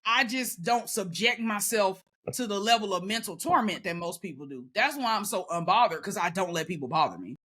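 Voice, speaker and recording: A woman talking casually as a guest, loud and emphatic with a sassy edge. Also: mid-range voice, lively intonation, fairly quick, husky. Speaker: podcast guest. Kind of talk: casual conversation.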